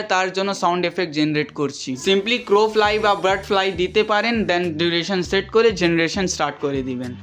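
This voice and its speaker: A man's voice: sits high, a bit bright. A man narrating a step-by-step screen tutorial, mixing English words into his explanations. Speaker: animation tutorial instructor.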